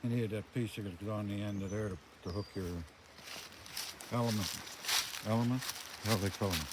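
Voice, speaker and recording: A man's voice: fairly low pitch, fairly even intonation, fairly quick. An older man giving a casual, folksy walk-around commentary outdoors, thinking aloud in plain words as he goes. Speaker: vlogger showing his farm. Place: outdoors on a rural farm. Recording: very noisy outdoor amateur recording.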